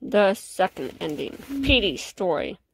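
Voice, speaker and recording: A woman reading a children's storybook aloud as an expressive narrator. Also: lowish voice, measured pace, gravelly, a little hiss or hum, rough recording. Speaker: storybook reader.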